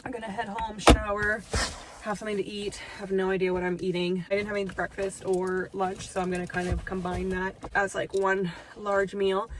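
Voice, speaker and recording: A woman's voice: level tone, brisk, rough recording. A young woman with a nasal, stuffed-up voice chatting casually in a vlog, first from her parked car and then out on a ravine walking trail. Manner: chatty, self-deprecating, talks in a high sweet voice to her dog. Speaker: vlogger.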